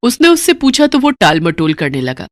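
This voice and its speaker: A woman's voice: medium pitch, wildly swooping pitch, moderate pace, dry recording, studio quality. A woman narrating a story in a dramatic, scripted storytelling style.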